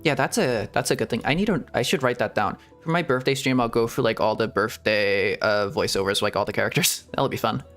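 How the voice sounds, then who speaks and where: A man's voice: mid-range voice, a little fast, few pauses, a bit bright. Casual male streamer reacting to a game, giggly and rambling, faint music behind. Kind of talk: casual gameplay commentary.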